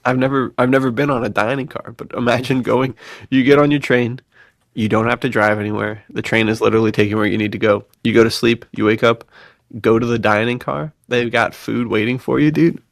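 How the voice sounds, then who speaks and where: A man co-hosting a podcast in casual, excitable, slangy conversation. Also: mid-range voice, brisk, vocal fry, dry recording, studio quality. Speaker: podcast co-host. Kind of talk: casual enthusiastic chat.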